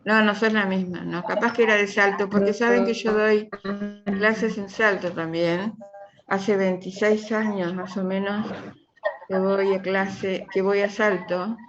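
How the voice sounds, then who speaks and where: A middle-aged woman with a Uruguayan accent, a university professor chatting informally with her class in a rambling way and repeating her phrases. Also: a little hiss or hum. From Uruguay, from Tacuarembó. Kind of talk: informal online class introduction. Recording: muffled low-fidelity class recording.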